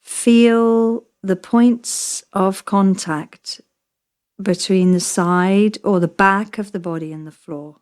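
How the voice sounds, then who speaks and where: A woman yoga nidra teacher leading a guided relaxation meditation in a soothing, measured way, leaving long pauses between short phrases. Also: medium pitch, steady tone, darkish tone.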